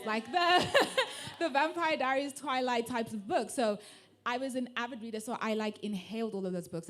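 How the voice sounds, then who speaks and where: Soft-spoken young woman. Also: mid-range voice. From South Africa. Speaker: panelist, online book lover. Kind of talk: casual panel talk.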